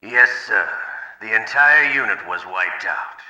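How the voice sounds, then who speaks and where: A man's voice: on the low side, expressive, a little slow, bright, forceful tone, a little hiss or hum, exaggerated voice. An actor playing a sly, deferential secret agent in a video game, reporting to his superior in clipped, conspiratorial phrases. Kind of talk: dramatic video game voice acting, one-sided call. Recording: roomy echo.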